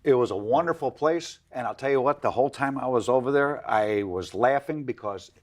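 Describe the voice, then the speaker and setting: A man's voice: medium pitch, a little fast. A man in his sixties, a veteran guest in a TV studio interview, answering politely and often saying "yes, sir.". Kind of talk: interview, recalling his Army service.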